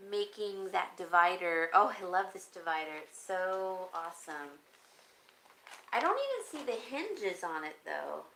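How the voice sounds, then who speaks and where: A woman hosting a casual live chat, talking loosely and warmly about whatever comes up. Her voice is bright but turning raspy, and coughs break in often. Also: lowish voice, poor audio. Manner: rambling, chatty, reads and answers viewer comments. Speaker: home decor vlogger.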